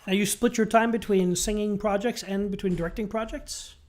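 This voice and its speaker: A man's voice: high voice, steady tone, a little fast. A man hosting a music interview show, asking questions in a conversational way with fillers and restarts. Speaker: podcast host, interviewer.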